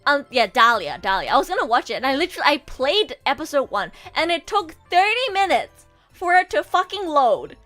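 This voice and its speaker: A woman streamer chatting casually with her viewers, animated and swearing freely, her throat a bit sore from a coming cold. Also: sits high, fairly quick, faint music behind. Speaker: VTuber streamer and voice actor. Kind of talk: casual livestream chat.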